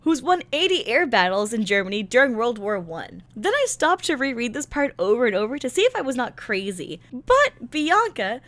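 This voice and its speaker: Animated, high-voiced sarcastic woman. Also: moderate pace, few pauses, no room sound. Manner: sarcastic, exasperated, theatrical emphasis. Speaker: content creator reviewing a visual novel. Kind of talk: casual, slangy story recap commentary.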